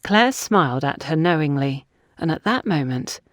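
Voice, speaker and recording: A woman's voice: sits low, lively intonation, normal speed, dry recording. Woman narrating fiction, very dry close sound. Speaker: audiobook narrator.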